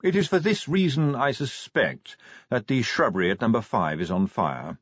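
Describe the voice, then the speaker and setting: A man voicing an unflappable formal butler, deferential, smooth and poker-faced in an audiobook story. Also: mid-range voice, animated, normal speed, dry recording, highs cut off, voice pushed up, lightly acted. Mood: smooth, deadpan.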